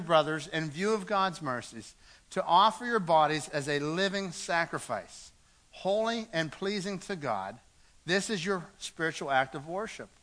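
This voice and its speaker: A man's voice: high voice, pushed and bright. A man preaching a sermon in a church, earnest and repeating words as he goes, reading scripture aloud. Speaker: pastor.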